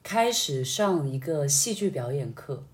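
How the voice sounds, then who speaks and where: A woman chatting casually as a podcast co-host, attentive and quick to put in short acknowledgements. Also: deep voice, sing-song. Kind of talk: casual chat.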